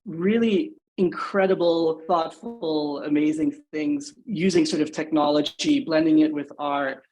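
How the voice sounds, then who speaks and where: A man from Singapore, in his thirties, talks as a podcast guest in a fairly high voice. He speaks thoughtfully and keeps adding "you know" and "right?" as he goes. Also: fairly even intonation, measured pace, darkish tone, soft background music, poor audio. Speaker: guest, agency founder. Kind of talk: podcast interview.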